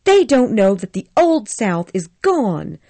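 A woman's voice: high voice, big pitch swings, takes it slowly, darkish tone, dry recording, voice pushed up, heavily acted. A woman reading a story aloud, voicing a headstrong young Southern belle who frets and pleads.

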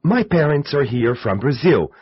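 A man's voice: medium pitch, big pitch swings, moderate pace, slightly rough. A man voicing Paolo, a cheerful young man introducing his parents in a scripted textbook dialogue, in a sociable, friendly way. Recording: muffled lo-fi tape.

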